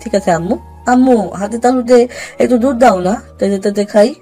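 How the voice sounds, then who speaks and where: A woman's voice: a little high, normal speed, dark tone, breathy edge. A woman playing a whiny, pleading little boy in an audio story. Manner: childish, pleading. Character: whiny little boy pestering his mother. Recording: music behind the voice.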